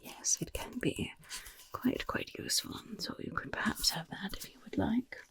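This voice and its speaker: A woman voicing a friendly, sly female goblin quartermaster in an ASMR roleplay, in a soft breathy whisper, coaxing and unhurried. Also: mid-range voice, huge pitch swings. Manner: coaxing, unhurried, repeats words for emphasis.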